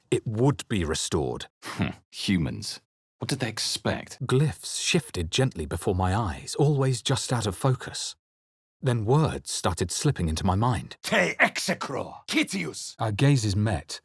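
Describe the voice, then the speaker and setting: A man playing a brooding, wary fantasy adventurer in a video game, with a husky voice and hushed, deliberate, dramatic delivery. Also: on the low side, no echo, studio quality, full character voice. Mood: forceful incantation. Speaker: video game player-character voice actor. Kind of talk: dramatic scripted game narration and reaction lines.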